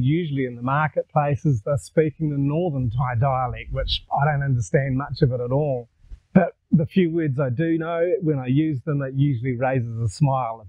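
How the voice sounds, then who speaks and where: A man's voice: medium pitch, no echo. Older Kiwi man, tinny dry sound. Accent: New Zealand. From New Zealand. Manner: relaxed, conversational, gestures while talking. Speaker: vlogger. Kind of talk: casual storytelling to camera. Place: outdoors in a garden. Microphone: lapel mic.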